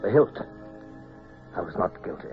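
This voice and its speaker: An actor playing an earnest, anxious naval captain, narrating introspectively in an old-time radio drama. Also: male voice, moderate pace, narrow, tinny audio, over music, lightly acted. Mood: tense, dread. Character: earnest, anxious male naval captain telling his story. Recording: phone-thin old radio recording, hissy.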